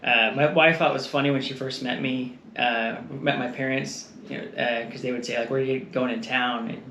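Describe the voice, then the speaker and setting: A man's voice: mid-range voice, fairly even intonation, a little fast, bright, forceful tone. Rambling man in an echoey room. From Texas. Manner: rambling, laughs often, trails off with fillers. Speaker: guest. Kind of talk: casual conversational interview. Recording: echoey room with faint noise.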